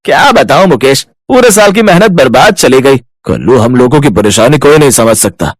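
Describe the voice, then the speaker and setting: A man's voice: on the high side, no room sound. A man voicing an aggrieved, grumbling village farmer in storybook dialogue.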